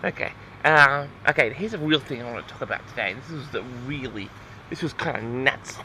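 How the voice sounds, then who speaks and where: A man's voice: mid-range voice, lively intonation, a little fast, bright, forceful tone, slight background noise, rough recording. A man telling a story casually as host of an audio log, rambling in an awkward, flustered, exasperated way.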